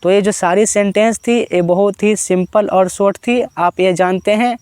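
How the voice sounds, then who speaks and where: A man with an Indian accent teaching basic spoken English in Hindi, slowing right down and repeating each model sentence twice. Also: sits high, fairly even intonation, darkish tone, smooth, no echo.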